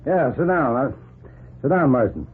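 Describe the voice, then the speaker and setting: An actor in a 1940s radio drama playing a gruff but approving newspaper editor, emphatic and bossy. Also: male voice, medium pitch, sing-song, normal speed. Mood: approving, animated. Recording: narrow old 1940s radio recording.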